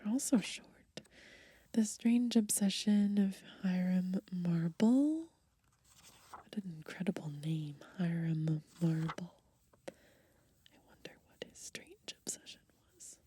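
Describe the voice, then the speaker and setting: A young woman whispering in a hushed, breathy voice for ASMR, unhurriedly reading from a book and adding gently musing asides. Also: lowish voice, expressive, slow pace. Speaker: ASMR host. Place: outdoors on grass.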